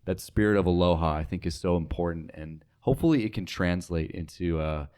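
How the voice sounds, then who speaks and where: A man's voice: low voice, a little fast, darkish tone, smooth. A man with an American accent hosting an interview as a casual chat, speaking informally and peppering his sentences with "like". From mainland United States. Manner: informal, heavy use of "like". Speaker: interview host.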